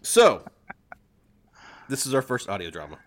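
A man's voice: medium pitch, wildly swooping pitch, a little slow. A man hosting a podcast, chatting casually over drinks with his co-hosts. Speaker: podcast host.

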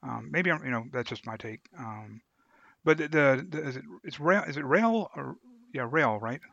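Bright-voiced man on a slightly dull, dry recording. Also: medium pitch, expressive, moderate pace, vocal fry, no echo. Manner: hesitant, searching for words. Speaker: podcast co-host. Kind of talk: casual book discussion.

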